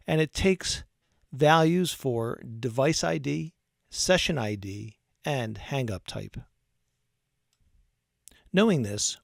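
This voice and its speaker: Man narrating a tutorial, gravelly, dry sound. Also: medium pitch, no room sound. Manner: measured, methodical explanatory delivery. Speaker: technical training instructor.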